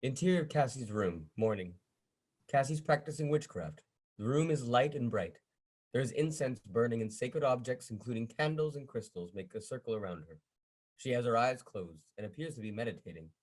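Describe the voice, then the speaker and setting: A man's voice: medium pitch, animated, moderate pace, darkish tone. A man reading scene descriptions and stage directions as narrator of a scripted table read.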